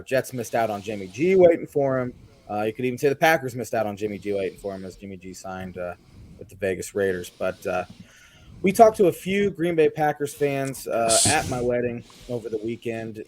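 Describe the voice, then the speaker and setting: A young man co-hosting a casual sports podcast, chatty and rambling, his voice still hoarse and recovering. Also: fairly low pitch, darkish tone. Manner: chatty, rambling, frequent fillers.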